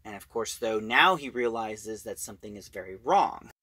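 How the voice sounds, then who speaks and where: A man giving a casual, halting recap of a story as a reviewer, in an informal way. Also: lowish voice, lively intonation, pushed and bright, husky, no room sound.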